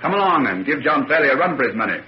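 An actor playing a breezy, blustering young English gentleman, exclaiming in an old-time radio drama with an English accent. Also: mid-range voice, big pitch swings, racing, natural voice. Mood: bluff, teasing. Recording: muffled, noisy old radio recording.

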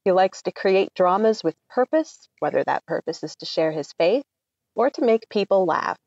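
A woman's voice: on the low side, lively intonation, normal speed, a little mellow, dry recording. A woman co-hosting a podcast, chatting casually and introducing a guest. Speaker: podcast co-host. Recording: dull, lo-fi sound.

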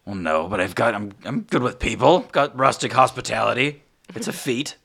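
A man's voice: mid-range voice, lively intonation, moderate pace, creaky, fairly bright tone, slightly rough, pitched above the performer's own voice, full character voice. A man voicing an earnest, folksy farmer who is a player's brother, talking eagerly with some stammering. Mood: friendly. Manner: eager, stammering, good-natured.

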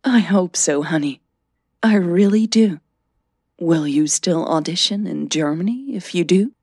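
A woman's voice: fairly low pitch, animated, measured pace, mellow, husky, no room sound, studio quality, full character voice. A woman voicing an enthusiastic, affectionate middle-aged mother who encourages and teases, in an audio drama. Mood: encouraging. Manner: eager, affectionate.

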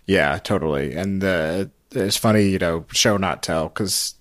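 A man's voice: low-pitched, measured pace, a bit bright. A man hosting a podcast interview in a casual, conversational way, hedging his points with frequent little asides.

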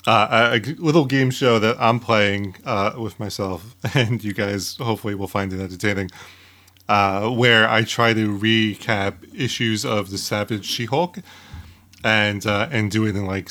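A man's voice: lowish voice, bright, forceful tone. A man hosting a podcast in casual, informal talk, rambling and often interrupting himself. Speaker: podcast host.

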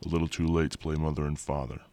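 A man's voice: rumbling low, little pitch movement, quick pace, dark tone. A man playing a cold, imperious evil scientist villain in an audio drama, speaking with menace. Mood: mocking. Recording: recording with faint background noise.

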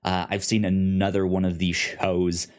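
A man's voice: low voice, level tone, moderate pace. A man hosting a podcast in a casual, chatty style, often stammering over sounds and words. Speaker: podcast host.

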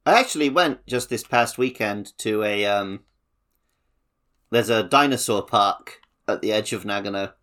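A man's voice: fairly low pitch, unhurried, fairly bright tone. A man chatting casually as a podcast co-host. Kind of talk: casual chat.

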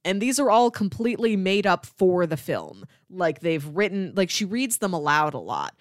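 A woman's voice: fairly low pitch, big pitch swings, moderate pace, dry recording, studio quality. A woman, a young adult, co-hosting a casual film discussion in an enthusiastic, explanatory way. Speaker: film podcast co-host.

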